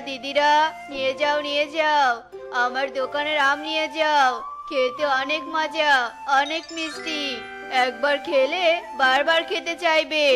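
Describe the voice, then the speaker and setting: Woman doing greedy braggy boy crow, really high voice, scornful, kids cartoon w music. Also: fairly bright tone. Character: selfish, boastful male cartoon crow, greedy elder brother. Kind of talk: children's cartoon dubbing.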